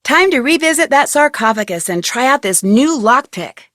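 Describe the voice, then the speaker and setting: A young American woman playing an inquisitive, plucky amateur detective in an adventure game, speaking earnestly and expressively with clear enunciation. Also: high voice, sing-song, normal speed, fairly bright tone, dry recording, clean studio sound. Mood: determined, musing. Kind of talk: scripted adventure game voice acting.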